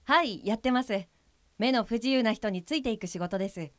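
A woman's voice: a little high, fairly quick, no room sound, close to an everyday voice. A woman playing an earnest guide helper for blind people in an audio drama, explaining in a polite, businesslike manner. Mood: polite, explanatory.

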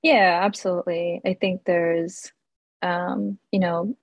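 A woman's voice: lowish voice, little pitch movement, slow pace, dry recording. Young american woman guest on a podcast, friendly, lots of ums, dry close mic. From United States. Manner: friendly, many ums and uhs, laughs. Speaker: guest, English instructor and food writer. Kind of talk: casual interview.

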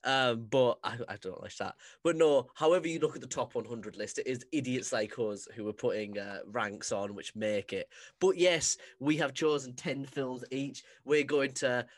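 A man hosting a casual podcast chat, joking and teasing with quick rambling asides. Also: medium pitch. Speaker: podcast host.

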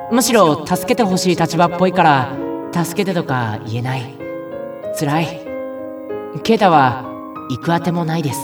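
Brief: male actor as gloomy, timid youth, slightly high voice, plaintive rambling, music clearly behind him. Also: distant mic. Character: timid, self-pitying, gloomy young human man lost in otherworld. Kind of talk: audio drama acting with narration.

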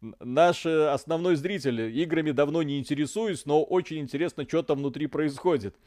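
A man's voice: a little high, brisk, warm tone, no room sound, studio quality. A man co-hosting a stream, reading viewer messages aloud in a loose, casual way, swearing now and then and trailing off. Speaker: stream co-host. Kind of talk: casual chat reading donations.

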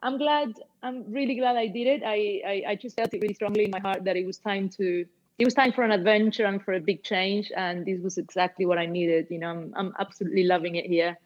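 A woman's voice: medium pitch, steady tone, quick pace, lo-fi sound. A woman in her forties, originally from Argentina, chatting casually as an interview guest with lots of fillers and restarts. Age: 40s. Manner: warm, rambling with many fillers and restarts. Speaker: guest musician and label owner.

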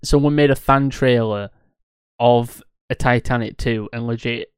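Clear, dry-sounding young man bantering casually. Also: mid-range voice, a little slow, dry recording. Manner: sweary, blunt. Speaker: podcast co-host. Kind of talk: casual banter among friends.

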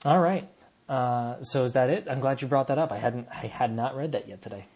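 A man in a loose sports chat with his co-host, his voice a bit strained and hoarse. He laughs easily and reads questions aloud at a mid pitch, recorded on a home podcast mic. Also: fairly quick. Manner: relaxed, laughs often, reads listener questions. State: losing his voice.

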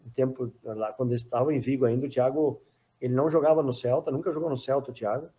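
A man's voice: medium pitch, fairly even intonation, quick pace, warm tone. A middle-aged former footballer as a podcast guest, talking in a casual, hesitant way and often repeating words while he thinks. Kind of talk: casual interview chat.

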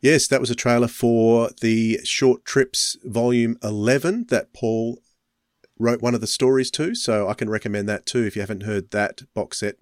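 A man's voice: mid-range voice, fairly even intonation, normal speed, no room sound, clean studio sound. A man hosting a podcast in a casual, rambling, informal way. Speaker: podcast host. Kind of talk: casual chat.

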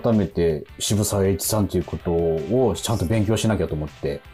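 A man, hosting radio with casual, animated storytelling. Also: low-pitched, normal speed. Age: 40s. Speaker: radio show host. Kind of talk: casual radio chat with anecdotes. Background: music.

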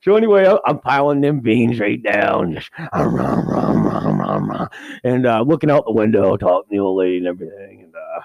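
A man's voice: lowish voice, wildly swooping pitch, brisk, gravelly, a little mellow, dry recording, pitched below the performer's own voice, heavily acted. A man doing a giggly, crude firefighter. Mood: rowdy. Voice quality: crude, giggly, rambling storyteller. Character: rambling, cheapskate middle-aged male firefighter. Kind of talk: improvised character comedy.

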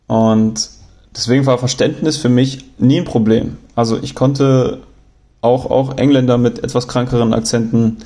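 A young man from Germany talking on his own in a relaxed, rambling way, often pausing with fillers and restarting sentences. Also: mid-range voice, creaky. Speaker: podcast host. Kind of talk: casual spoken monologue.

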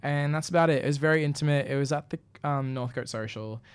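A man's voice: on the high side, fast talker, clean tone, no echo. Aussie guy co-hosting a radio chat, laid back, laughs a lot. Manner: relaxed, chatty, laughs easily. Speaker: co-host. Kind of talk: casual chat.

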